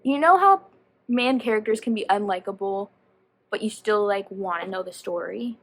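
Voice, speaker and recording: A woman giving a casual, chatty book review as a vlogger, animated and slangy, often rambling and interrupting herself. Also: mid-range voice, moderate pace, a little hiss or hum.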